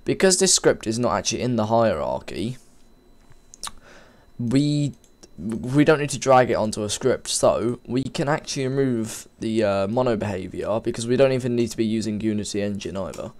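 A man's voice: on the low side, lively intonation, moderate pace, a little mellow. A man with a cold and a slightly sniffly voice, talking casually through a coding tutorial at his computer and often correcting himself. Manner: informal, rambling, self-correcting while typing. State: has a cold, a bit sniffly. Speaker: game development tutor. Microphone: desk microphone.

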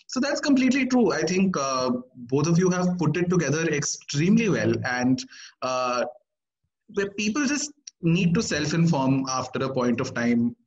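A man hosting an online panel discussion, talking earnestly and emphatically and often checking in with "right?". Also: a little high. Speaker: host. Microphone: video call. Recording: muffled video call audio.

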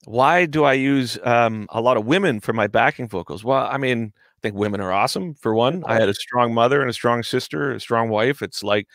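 A man's voice: mid-range voice, fairly quick, hardly any pauses, a bit bright, no room sound. A man in his forties chatting casually on a video call from his home studio, rambling and joking, now and then swearing. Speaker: guest musician. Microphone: headset microphone on video call.

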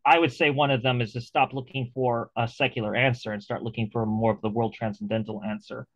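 A man talks animatedly over a video call, stumbling through restarts and fillers as his thoughts run ahead. The sound is dull and lo-fi, and very dry, with no room in it. His pitch sits in a middling range. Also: steady tone, fast talker, a bit bright, no echo. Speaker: interview host. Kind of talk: casual video call conversation.

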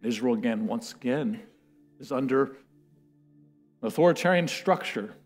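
Animated preacher man in a church hall. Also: medium pitch, moderate pace, soft background music. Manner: animated storytelling, building to loud chanted quotes. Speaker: pastor. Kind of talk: sermon. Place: church stage with a lectern, large indoor room.

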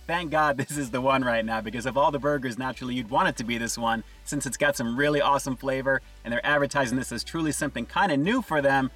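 A man in his forties reviewing fast food from inside a parked car, upbeat and enthusiastic, talking to viewers. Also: medium pitch, a bit bright, distant mic, poor audio. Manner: upbeat, enthusiastic, often says "guys".

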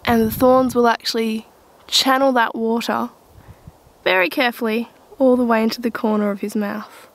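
High-voiced Australian woman, chatty wildlife vlogger. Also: flat delivery, normal speed. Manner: gushing, chatty, uses informal slang. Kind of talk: casual, enthusiastic nature commentary to camera.

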